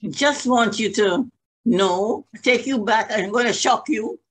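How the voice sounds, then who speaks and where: A woman's voice: fairly high pitch, takes it slowly, breathy edge. An older woman from Guyana, an alumna, sharing memories in an earnest, composed way on a video call. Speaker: alumna guest. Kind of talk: brief reminiscence on a video call.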